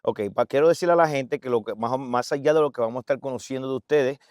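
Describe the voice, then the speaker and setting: A man's voice: medium pitch, quick pace, a little mellow, dry recording, studio quality. A man hosting a casual interview, upbeat, dropping English words into his Spanish. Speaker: host. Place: USA.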